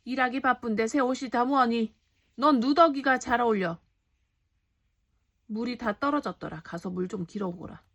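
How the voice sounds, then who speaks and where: A woman voicing a cruel, two-faced stepmother in a storybook, with sharp, spiteful scolding. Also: on the high side, moderate pace, long pauses, dry recording. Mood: angry scolding.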